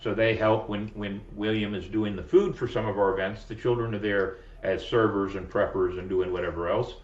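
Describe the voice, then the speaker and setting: A man's voice: on the low side, fairly even intonation, moderate pace. A man hosts a casual podcast chat, rambling and correcting himself as he jokes along. He sits well back from the mic in an echoing room. The sound is dull and lo-fi. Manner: rambling, self-correcting, jokey. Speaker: podcast host. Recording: roomy, distant, dull lo-fi sound.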